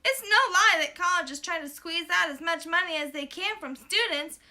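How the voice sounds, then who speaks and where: A female puppet news host, acted out by a woman, brisk and concerned. Her voice is extremely high and intensely bright. She declaims her scripted lines with firm emphasis. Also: animated, heavily acted. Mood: concerned, emphatic.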